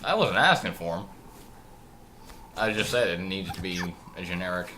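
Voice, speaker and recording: A man's voice: low voice, animated, normal speed, background noise. A man doing casual, crude game commentary with mock-boastful, foul-mouthed banter over game music. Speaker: let's play commentator.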